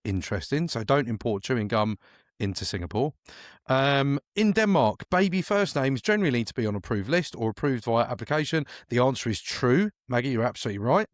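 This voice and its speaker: A man's voice: mid-range voice, lively intonation, brisk, no room sound, clean studio sound. A man hosting a casual true-or-false quiz, playful and teasing, reading out questions and repeating them. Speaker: quiz host.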